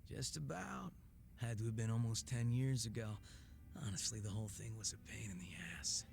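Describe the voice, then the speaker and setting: An actor playing a cocky, laid-back former gang boss in a video game, teasing and sardonic with a languid drawl and casual swearing. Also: male voice, fairly low pitch, normal speed, creaky, over music. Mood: jaded. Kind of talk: English video game voice acting.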